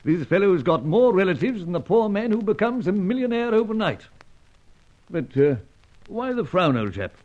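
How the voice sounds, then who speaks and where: Animated, high-pitched blustery British gentleman. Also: normal speed. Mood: hearty, explaining. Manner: blustering, hearty, sputtering. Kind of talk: old-time radio serial acting. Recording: dull lo-fi old radio recording.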